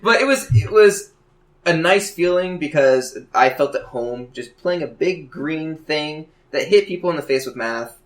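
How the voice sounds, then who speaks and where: A man talking casually as a podcast panelist about a hobby, telling stories in a halting, self-correcting way. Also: on the high side, expressive. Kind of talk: casual hobby chat about a card game. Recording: roomy, echoing room sound.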